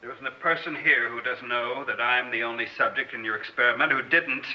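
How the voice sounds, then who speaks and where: A man's voice: mid-range voice, steady tone, a little fast, lightly acted. An actor playing an intense, embittered man in a 1960s radio drama, emphatic and challenging. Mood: furious, threatening. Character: intense, embittered non-scientist man with a grudge. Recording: muffled, noisy old radio recording.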